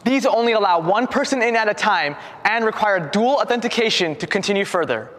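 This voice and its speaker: A man's voice: high-pitched, lively intonation, brisk, a bit bright. A man hosting a scripted guided tour, speaking in an upbeat, presenter-like way. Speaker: tour host.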